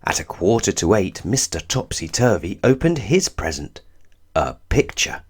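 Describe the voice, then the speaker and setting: Man, children's storybook narration, lowish voice, very varied intonation, deliberate emphasis. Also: big pitch swings, slightly rough. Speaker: storybook reader. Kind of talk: scripted children's story narration read aloud.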